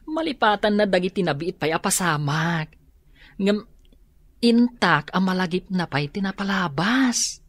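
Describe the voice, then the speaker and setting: A woman playing a steady, reassuring friend who explains and advises in a radio drama, with an Ilocano Filipino accent. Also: lowish voice, measured pace, dry recording. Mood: explaining.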